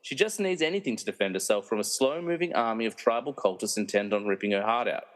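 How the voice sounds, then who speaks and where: A man's voice: lowish voice, quick pace, lightly acted. A man playing a wry narrator in a comedy radio play, reading dramatic narration with mocking asides. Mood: suspenseful. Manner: wry, theatrical. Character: wry, meddling movie narrator who mocks the characters. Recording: reverberant hall-like echo.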